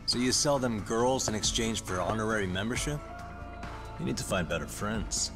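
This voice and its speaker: A man's voice: lowish voice, normal speed, close to an everyday voice. An actor in his thirties playing a tough, street-smart male detective in an English game dub, wry, confident and threatening. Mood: wry, unimpressed. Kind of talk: English video game dub cutscene acting. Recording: music under the voice.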